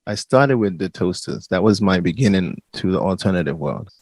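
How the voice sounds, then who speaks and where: A man from England with a London accent, chatting as a guest musician and telling his story in a relaxed way. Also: deep voice, normal speed, husky, no echo. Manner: relaxed storyteller, laughs between thoughts. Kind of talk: casual interview chat.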